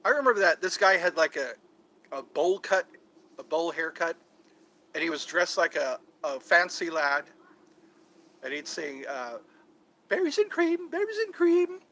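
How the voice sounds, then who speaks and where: A man's voice: fairly high pitch, unhurried, long pauses, pushed and bright, low-quality recording. A middle-aged man chatting casually on his vlog, rambling and joking, trying out voices and impressions. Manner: rambling, jokey, tries out voices. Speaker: vlogger.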